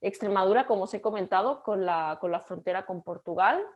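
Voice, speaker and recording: A young Catalan woman with a Catalan Spanish accent, giving an online lecture over a video call and speaking haltingly with frequent fillers and restarts. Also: mid-range voice, lo-fi sound. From Catalonia, Spain. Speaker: guest lecturer.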